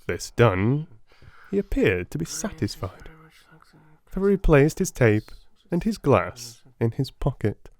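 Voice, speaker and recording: Expressive male audiobook narrator, very animated pitch. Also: a little slow. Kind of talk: dramatic scripted narration.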